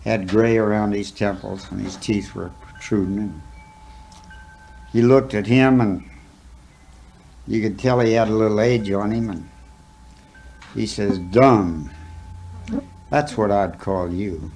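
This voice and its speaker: A man's voice: fairly low pitch, a little mellow, a little hiss or hum, low-quality recording. A man reciting a cowboy poem as a dramatic story, in a folksy, rustic manner. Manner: folksy, drawn-out phrasing with rustic dialect.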